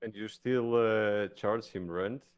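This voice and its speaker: A man's voice: lowish voice, steady tone, slow, smooth. A man chatting casually as a player in a tabletop roleplaying game, hesitant and searching for his words.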